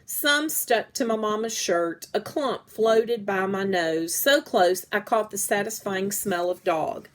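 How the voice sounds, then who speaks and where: Unhurried woman reading a story aloud. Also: mid-range voice. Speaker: reader reading a children's book aloud. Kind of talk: read-aloud story narration.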